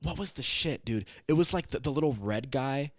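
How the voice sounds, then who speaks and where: A man's voice: mid-range voice, animated, brisk, dry recording, clean studio sound. A young adult man co-hosting a casual comedy podcast, swearing often, stumbling over his words and poking fun at himself. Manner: profane, stammering, self-deprecating. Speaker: podcast co-host.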